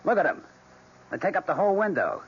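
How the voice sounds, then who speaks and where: An actor playing a brash, impatient husband, blunt, scornful and domineering, in an old-time radio drama. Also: male voice, high-pitched, very animated, fast talker, slightly rough, exaggerated voice. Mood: contemptuous. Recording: muffled, hissy vintage radio recording.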